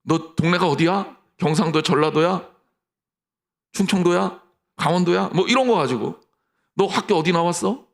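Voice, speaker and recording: A middle-aged man preaching a sermon as pastor, lively, mixing mock dialogue with personal stories. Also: high voice, normal speed, vocal fry, pauses often.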